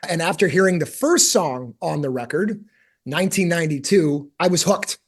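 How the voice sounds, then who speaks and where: A man with a slightly high-pitched voice hosts a music podcast. He opens with a read-out intro and then turns eager and chatty with his guests. He sometimes pokes fun at himself along the way. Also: animated, normal speed, husky. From United States. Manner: eager, warm, lightly self-deprecating. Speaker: podcast host.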